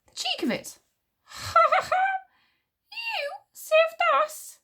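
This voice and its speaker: A woman's voice: a little high, expressive, moderate pace, slightly rough, pitched above the performer's own voice. A woman voicing a feisty, outspoken young warrior girl in an audiobook, speaking with forthright emphasis. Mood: outraged. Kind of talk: audiobook character voice.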